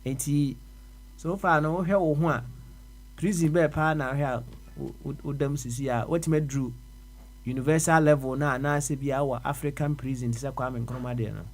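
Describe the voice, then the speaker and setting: A man's voice: mid-range voice, steady tone, moderate pace. Reflective man hosting radio. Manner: reflective, mixes English and Twi, asks probing questions. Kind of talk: casual radio discussion.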